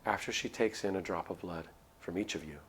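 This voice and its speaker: A man's voice: sits low, a little fast, a bit bright. A man reading a visual novel aloud as a streamer, in dramatic storytelling narration with casual asides. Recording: music under the voice. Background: music.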